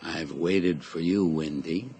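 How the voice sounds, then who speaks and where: Radio play villain: middle-aged male blackmailer, low-voiced, folksy and taunting, some background noise. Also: slow pace, dark tone. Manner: taunting, drawling familiarity with folksy grammar. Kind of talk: radio drama acting.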